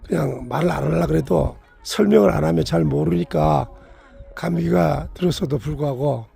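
A middle-aged man with a hoarse voice from a cold, narrating his family's trip outdoors as a travel vlogger and explaining each stop. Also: fairly high pitch, normal speed, warm tone. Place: outdoors at a desert monument site.

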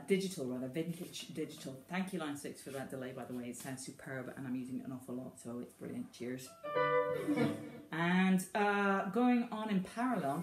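A woman in her forties chatting casually to the camera between guitar riffs, relaxed and self-deprecating, in a small room at home. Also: low voice. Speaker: guitarist demoing an effects preset. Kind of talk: casual gear demo talk to camera. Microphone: camera on the floor, looking up. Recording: roomy echo, loud electric guitar behind.

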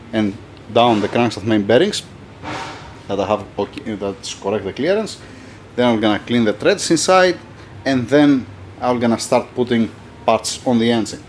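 A man's voice: medium pitch, a little hiss or hum. A man whose first language is Greek, filming his own engine rebuild in a workshop, thinking aloud as he goes. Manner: thinks aloud, restarts often, non-native phrasing. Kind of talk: casual workshop narration. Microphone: handheld camera.

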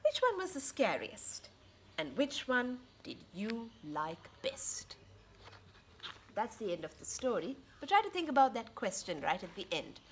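A woman reads a picture book to young children, speaking slowly and with lively swings in pitch. She keeps addressing them with questions. She is recorded outdoors, with faint background noise. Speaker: children's story reader and teacher. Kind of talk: interactive picture-book read-aloud for children. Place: outdoors on a home patio.

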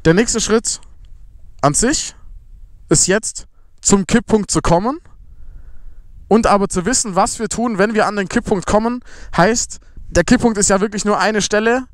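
High-voiced man explaining while riding. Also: a little slow, pauses often, no room sound. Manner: casual instructive explaining. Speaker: motovlogger. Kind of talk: riding tutorial commentary. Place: outdoors on a motorcycle on a closed road. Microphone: helmet or body camera while riding. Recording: faint motorcycle engine noise. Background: motorcycle engine.

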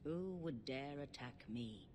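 Serene old priestess, reverberant, unhurried. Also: female voice, expressive, darkish tone, heavily acted. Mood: serene, devout. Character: calm, pious, dignified elderly female high priestess. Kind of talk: video game voice acting. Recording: long reverb, faint rumbling noise.

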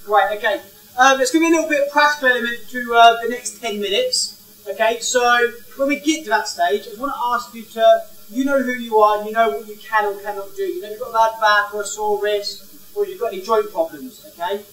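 Lively man in an echoing hall. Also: sits high, quick pace. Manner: energetic, walks around gesturing, asks the crowd questions. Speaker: head of school leading an icebreaker. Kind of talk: interactive talk without a microphone. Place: large indoor hall. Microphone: no mic, far camera. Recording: roomy hall from a distance.

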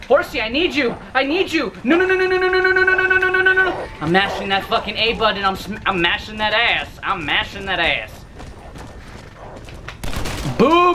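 A man in his twenties doing loud, profane let's-play commentary in his bedroom, his voice going hoarse. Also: high voice, a little hiss or hum. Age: 20s. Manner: loud, profane, yelling at the game. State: losing his voice. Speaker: gamer. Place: bedroom, indoors.

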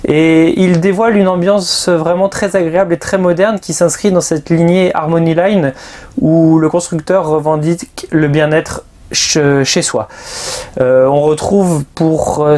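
Man presenting a measured review. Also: a little high, darkish tone. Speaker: magazine presenter and vehicle reviewer. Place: outdoors by a river and inside a motorhome.

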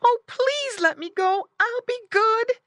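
A woman voicing a frightened, pleading little boy pig with a shrill squeal in a storybook reading. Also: lively intonation, slow pace, fairly bright tone, no room sound, heavily acted.

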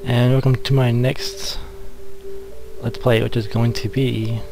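A man hosting a casual Let's Play with a hesitant, halting delivery. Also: medium pitch, level tone, music in the background. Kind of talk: casual game commentary.

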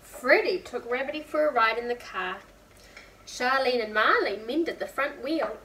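A woman in her twenties reading a picture book aloud to young children, expressive and asking listeners questions. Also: fairly high pitch, measured pace. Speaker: preschool storytime reader. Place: indoor room, seated beside a whiteboard. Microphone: camera a few feet away. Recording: distant camera mic, faint room noise.

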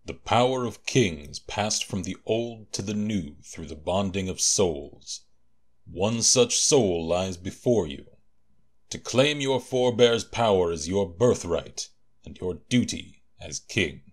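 Man acting as a stern warrior guardian, low voice, slow pace, solemn, formal, commanding, audio drama. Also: expressive, heavily acted.

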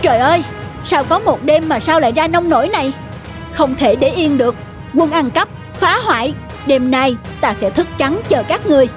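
A woman voicing an excitable, honest farmer in an animated folk-tale cartoon, exclamatory and indignant. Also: high-pitched, normal speed, music in the background. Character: excitable wronged sugarcane farmer, simple honest man.

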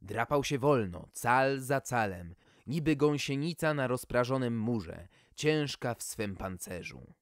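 Male storyteller, medium pitch, unhurried, expressive scripted narration, dry close sound. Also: no echo. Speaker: storyteller, audiobook reader.

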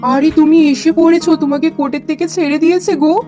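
A woman playing a worried, tender cartoon mother with a gentle, plaintive way of talking. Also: fairly high pitch, steady tone, moderate pace, soft background music, natural voice. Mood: surprised, relieved. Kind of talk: cartoon character dialogue.

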